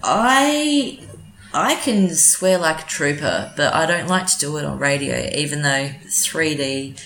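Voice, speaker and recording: An Australian woman with a low voice who talks slowly and hesitantly, dotting her answers with ums and restarts. She is a community radio presenter chatting casually in an interview. It was recorded in an echoey room with a faint hum of ambience. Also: expressive, a bit bright.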